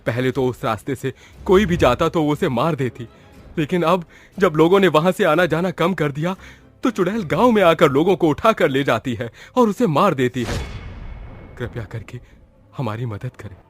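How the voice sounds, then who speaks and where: A man's voice: fairly high pitch, expressive, normal speed, breathy edge. Man acting an anxious, pleading village headman. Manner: earnest, urgent, pleading. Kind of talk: Hindi horror storybook voice acting.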